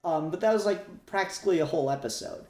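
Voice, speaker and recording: A man's voice: fairly high pitch, fast talker, darkish tone. A man co-hosting a podcast, talking casually and at rambling length about fan topics, with some swearing. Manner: rambling, profane. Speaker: podcast co-host. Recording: roomy, echoey voice recording.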